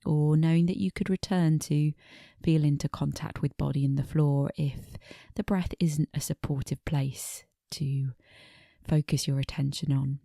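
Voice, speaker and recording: A woman meditation teacher guiding a body scan in a gentle, unhurried manner, pausing between short phrases, with soft phrase endings that fade toward breathiness. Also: low voice, fairly even intonation, vocal fry, no echo, studio quality.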